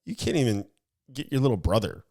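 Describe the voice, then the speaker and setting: A man's voice: mid-range voice, sing-song, brisk, gravelly, mellow. A man co-hosting a casual talk podcast, explaining through analogies and asking his partner leading questions. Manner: talks in analogies, asks leading questions, frequent restarts. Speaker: podcast co-host. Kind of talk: casual chat.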